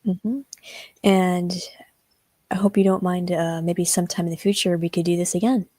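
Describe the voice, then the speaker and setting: Host, young woman, very low husky voice, shy and hesitant, video call. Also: level tone, moderate pace, mellow, no room sound. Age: young adult. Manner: shy, hesitant with many ums. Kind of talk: livestream interview.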